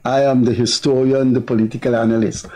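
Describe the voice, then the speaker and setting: An older man with a Guyanese accent, hosting a political talk show in a studio with measured, deliberate delivery. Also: mid-range voice, flat delivery, mellow. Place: TV studio with virtual set.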